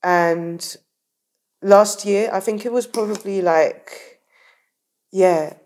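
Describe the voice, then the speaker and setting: A woman's voice: mid-range voice, slow pace, darkish tone. A young woman from the south of England with a Southern English accent, chatting casually as a podcast guest and laughing often between her words.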